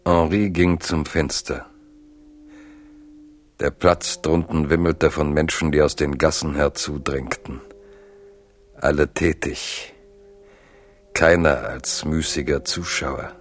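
A man narrating a literary radio drama in a measured, grave storytelling manner. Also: a bass voice, fairly even intonation.

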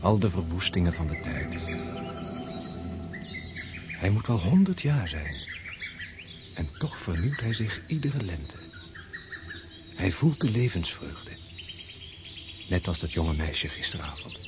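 A weary yet reawakening Russian prince speaks in a deep voice. He muses reflectively in radio drama style. Birds and clear background noise surround him. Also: very animated, slow, pauses often, heavily acted. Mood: wondering. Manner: reflective, musing aloud.

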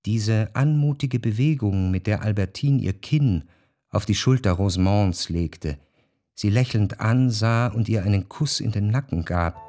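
An actor playing an introspective, melancholy narrator, delivering literary radio drama narration in a measured, reflective way. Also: male voice, lowish voice, dark tone, no room sound. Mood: reflective, troubled. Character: introspective, melancholy male narrator recalling his past. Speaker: first-person narrator. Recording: studio narration with faint music.

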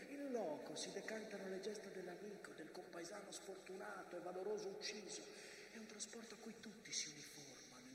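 An actor playing a war-weary, mournful witness of the First World War in an impassioned, rhetorical stage monologue. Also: male voice, a little high, heavy reverb, in a large hall, noisy background, lightly acted. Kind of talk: theatrical dramatic monologue.

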